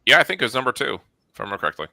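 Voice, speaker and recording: A man's voice: medium pitch, big pitch swings, racing, gravelly, pushed and bright, no echo. A middle-aged man explaining his work as an interview guest, informal and enthusiastic. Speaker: interview guest, studio manager. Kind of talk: casual explanatory chat.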